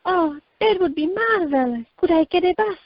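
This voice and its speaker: Woman playing a genteel, high-voiced holidaymaker. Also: lively intonation, normal speed, dry recording. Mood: polite, pleased. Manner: polite, proper. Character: genteel, sensible, art-loving holidaymaker Sheena. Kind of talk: amateur radio comedy acting. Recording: dull, dry telephone conference recording.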